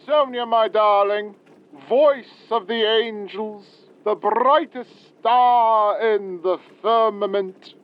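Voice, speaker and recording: A man's voice: high-pitched, slow. A man playing a florid, pompous would-be poet and lewd Romeo, declaiming grandly in an audio roleplay. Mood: grandly flirtatious. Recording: dull phone-in caller line.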